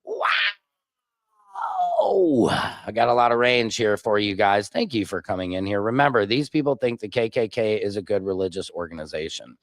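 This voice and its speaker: A man's voice: fairly low pitch, very animated, moderate pace, dry recording. A man hosting a political livestream, talking back heatedly to news clips and reading chat comments aloud from his home laptop setup. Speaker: livestream host.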